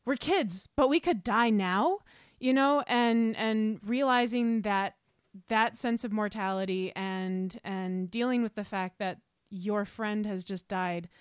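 A woman's voice: mid-range voice, slow pace, smooth, no room sound. Female podcast co-host: clean resonant tone, personal and earnest, close dry recording. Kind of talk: casual, personal podcast conversation.

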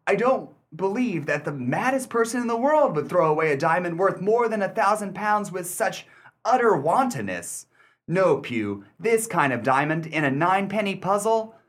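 A man playing a shrewd, suspicious gentleman collector in a radio play, theatrical and wordy, with mock-courteous condescension. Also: sits high, big pitch swings, moderate pace, full character voice. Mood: skeptical, musing. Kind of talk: old-fashioned radio play acting.

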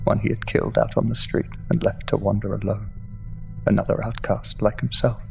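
Gravelly haunted outcast telling his tale. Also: lowish voice. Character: haunted outcast man narrating a grim tragic tale. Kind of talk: audio drama monologue.

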